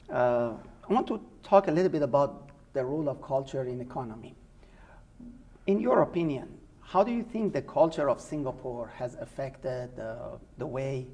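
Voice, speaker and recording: Gravelly middle-aged man moderating. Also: medium pitch, a little mellow. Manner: measured, with frequent "uh" fillers. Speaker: host and business school dean. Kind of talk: moderating an educational interview.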